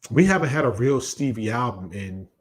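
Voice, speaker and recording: A man's voice: medium pitch, expressive, normal speed, husky. A man in his late forties casually debating music as a podcast co-host, emphatic and opinionated, often repeating his points. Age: late 40s.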